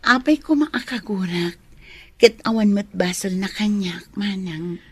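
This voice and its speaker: A woman with an Ilocano accent playing a gentle, wistful lady of the house in a radio drama, kind but firm. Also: mid-range voice, a little slow, breathy edge. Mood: tender, wistful.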